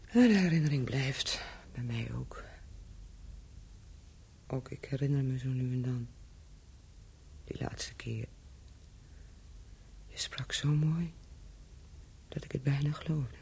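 An actress playing a cynical, bittersweet former prostitute and spy in an intimate radio play, with a husky, breathy voice that often drops to a whisper. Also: female voice, very deep voice, a little mellow, slight background noise, full character voice. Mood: wistful, intimate. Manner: slow, deliberate, bittersweet and mocking. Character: world-weary cynical ex-prostitute and former spy, now hotel keeper.

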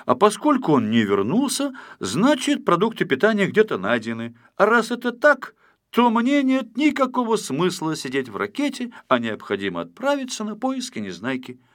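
A man's voice: sits high, huge pitch swings, moderate pace, a bit bright, pitched above the performer's own voice, heavily acted. A man voicing a gluttonous little male storybook character who reasons things out in an earnest, emphatic, self-justifying way. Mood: earnest reasoning.

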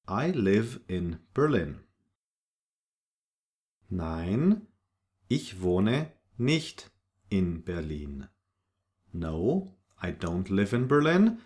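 A man's voice: on the low side, darkish tone. A man teaching a language lesson from a script, reading short example sentences and their translations in an instructional way.

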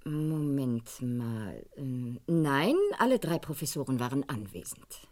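A woman's voice: a bass voice, expressive, takes it slowly, darkish tone, full character voice. An actress playing a composed middle-aged woman who runs a school office in a radio crime drama, speaking formally and precisely with a slightly haughty air. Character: composed, formal female art school administrator. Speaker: actor.

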